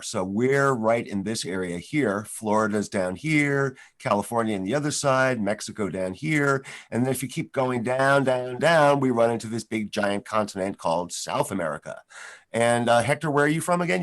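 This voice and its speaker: Man, host, live school show, video call, chatty, friendly, middle pitch. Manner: friendly, chatty, many fillers.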